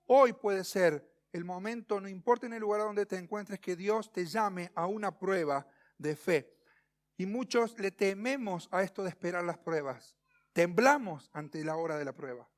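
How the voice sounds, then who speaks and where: Male Argentine preacher, high voice, teaching through a sermon with rhetorical questions and pointed pauses. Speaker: pastor preaching. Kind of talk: expository sermon.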